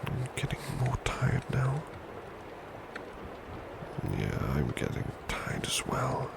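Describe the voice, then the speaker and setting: A man playing a gentle, devoted servant in a whispered ASMR roleplay, soothing a beloved to sleep with tender, softly repeated phrases. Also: medium pitch, wildly swooping pitch, takes it slowly, heavy background noise, exaggerated voice. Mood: sleepy, tender. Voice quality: breathy whisper.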